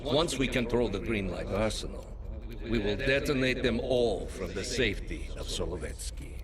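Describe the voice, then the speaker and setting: An actor playing a fanatical Soviet spy leader giving a solemn, deliberate speech in a video game. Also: male voice, on the low side, expressive, a crowd in the background, natural voice. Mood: grave, resolute. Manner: grave, deliberate oratory.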